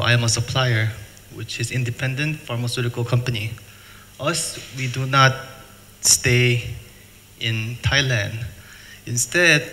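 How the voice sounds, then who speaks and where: A middle-aged man from the United States presenting at a seminar, speaking plain, halting English and repeating words. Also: medium pitch, pushed and bright. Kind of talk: conference presentation. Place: hotel function room. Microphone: table mic, filmed from afar. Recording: reverberant hall, faint noise.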